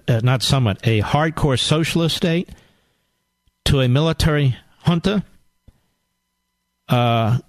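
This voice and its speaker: A man's voice: a little high, dry recording. A middle-aged man hosting a call-in radio talk show, arguing emphatically and lecturing his callers.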